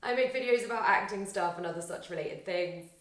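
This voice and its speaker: A young woman vlogging casually to camera, chatty and rambling, her voice slightly husky and tired after a weekend out. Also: mid-range voice, brisk, fairly bright tone, recorded from a distance, slight background noise. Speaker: vlogger and aspiring actor. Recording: roomy echo, faint music.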